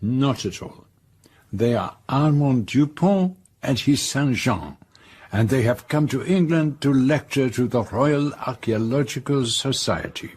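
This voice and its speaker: A dapper, courteous detective with a French-speaking Belgian accent. His slightly husky voice is formal and precise, quietly self-assured, suited to radio drama that he also narrates. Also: medium pitch, lively intonation, unhurried. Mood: courteous, amused.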